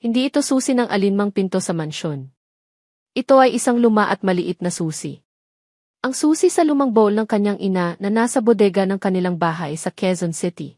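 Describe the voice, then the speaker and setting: A woman's voice: medium pitch, expressive, brisk, darkish tone, no echo, clean studio sound. A woman narrating a scripted romantic drama as a storyteller, in a dramatic reading style.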